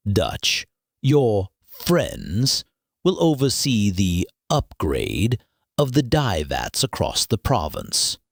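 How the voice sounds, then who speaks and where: A man acts out a pompous master detective in a mystery drama, his voice low and husky. He speaks slowly and formally, with deliberate diction and lively swings of pitch. He is coolly precise and commanding. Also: no room sound, clean studio sound, heavily acted. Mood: imperious. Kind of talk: scripted mystery audio drama.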